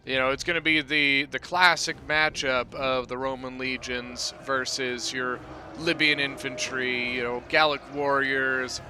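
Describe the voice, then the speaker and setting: A man hosting his gaming channel, giving relaxed, chatty commentary on a battle replay, peppered with "you know" and "uh". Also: medium pitch, measured pace, a little hiss or hum.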